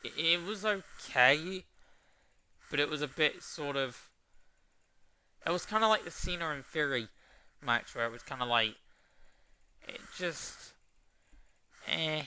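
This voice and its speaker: A man giving a casual review, unhurried and trailing off into fillers as he weighs his opinions. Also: fairly high pitch, sharp tone, clear, resonant tone. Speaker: wrestling show reviewer.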